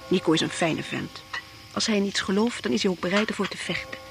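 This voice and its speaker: An actress playing a proud, composed married mother in a Dutch radio play, earnest and measured in manner. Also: mid-range voice, expressive, creaky. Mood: calm, loyal. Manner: earnest, measured, shuns self-pity. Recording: faintly noisy old radio play recording.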